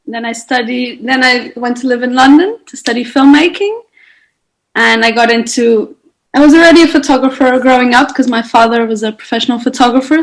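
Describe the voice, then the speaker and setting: A woman's voice: fairly high pitch, rough recording. Young woman, reflective. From Portugal. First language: Portuguese. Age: 20s. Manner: reflective, searching for words, light laughter. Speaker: guest, photographer. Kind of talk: conversational podcast interview.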